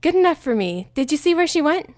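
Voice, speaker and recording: A woman's voice: high voice, big pitch swings, fast, no room sound, voice pushed up. A woman voicing an earnest, somewhat bossy young female pony, urgent in manner.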